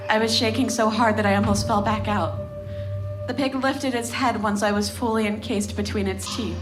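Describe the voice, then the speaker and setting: An actress playing a grave, defiant female survivor, recounting trauma in a dramatic, deliberate way for horror audio fiction. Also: medium pitch, lightly acted. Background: music.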